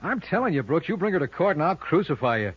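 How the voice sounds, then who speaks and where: An actor playing a cocky, wisecracking lawyer in a 1950s radio detective drama, with flippant, sardonic banter. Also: male voice, a little high, big pitch swings, quick pace, breathy edge, heavily acted. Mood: brisk, insistent. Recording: dull, noisy 1950s radio recording.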